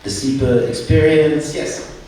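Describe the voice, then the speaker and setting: A man's voice: a little high, steady tone, a little slow, mellow, a little hiss or hum. A man moderating an information session, inviting questions from the audience in brief, inviting lines. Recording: echoey and distant.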